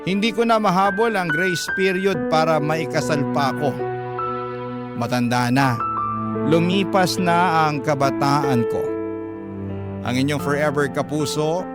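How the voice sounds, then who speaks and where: Filipino guy doing emotional radio story narration, slightly high voice, loud music under him. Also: unhurried, long pauses. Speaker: radio host narrator reading a letter. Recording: loud music bed behind voice.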